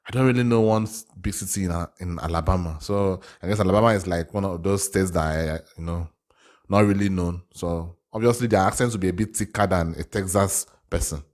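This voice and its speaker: A man with an African accent talks as the host of a reaction video. His voice sits on the low side. He works through his thoughts out loud, hesitating and circling back over his own phrases in a casual way. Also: brisk. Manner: hesitant, repetitive, thinking aloud.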